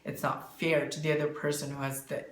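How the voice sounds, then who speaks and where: A man answering interview questions as a bookkeeper, explaining in a halting way and searching for words. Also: on the high side, monotone. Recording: echoey room, faint background noise.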